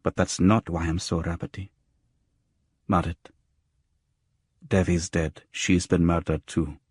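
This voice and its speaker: A shaken, frightened woman in an audiobook , played by a male narrator, speaks gravely and urgently. The voice is low and husky, recorded very dry. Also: flat delivery, slow, a little mellow, no room sound, full character voice. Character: shaken, frightened woman recounting a friend's murder. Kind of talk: audiobook character dialogue.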